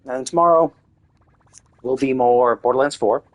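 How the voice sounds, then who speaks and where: A man's voice: mid-range voice, normal speed. A man streaming a game live, thinking aloud in a loose, rambling way with lots of restarts. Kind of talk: casual live-stream commentary while playing.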